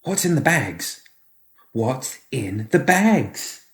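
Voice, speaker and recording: A man's voice: mid-range voice, sing-song, extremely slow, roomy sound, voice pushed up, exaggerated voice. A man voicing a strange, bossy little boy in a story, with a piping voice and precise, childlike, petulant speech. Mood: curious.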